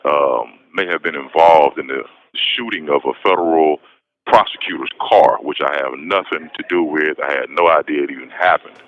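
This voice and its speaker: An American man giving a casual personal account over a muffled, noisy phone line. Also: rumbling low. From west Texas, United States. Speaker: interview guest, prisoner calling in. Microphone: telephone call from prison.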